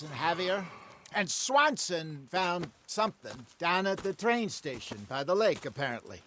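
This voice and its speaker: An aging American outlaw in a Western game. His voice sits high for a man and has a keen, bright edge. He talks plainly and wryly, as a worldly old adviser who frets over his companions. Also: slight background noise. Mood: wry, dry. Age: older. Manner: wry, plain-spoken. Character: aging male outlaw, wry, worldly-wise, worried adviser. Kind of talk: dramatic Western video game acting.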